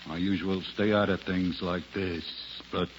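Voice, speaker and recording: Suspicious mob boss, low voice, blunt poor grammar, muffled old radio crime drama. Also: male voice, fairly even intonation, moderate pace, a little hiss or hum. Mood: suspicious, tense. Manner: blunt, folksy tough-guy talk with poor grammar. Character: rough, suspicious male gangster boss, uneducated.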